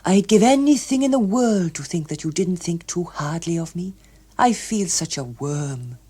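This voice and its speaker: A woman's voice: fairly low pitch, lively intonation, normal speed, warm tone, breathy edge. A woman voicing an earnest, lovesick, self-pitying man with a hesitant, pleading manner in an audiobook.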